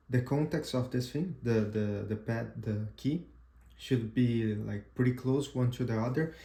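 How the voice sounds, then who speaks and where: A man whose first language is Portuguese gives a casual tutorial demo, pausing often with "uh" and restarting his sentences. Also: mid-range voice, echoey room, background noise. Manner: halting, frequent "uh" and restarts, checks in with "right?". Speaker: amateur radio instructor.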